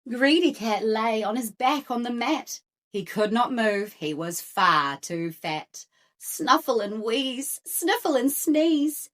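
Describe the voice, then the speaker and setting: A woman hosting an expressive read-aloud for young children, with dramatic, playful emphasis. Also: on the high side, slow. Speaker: storyteller and host for young children.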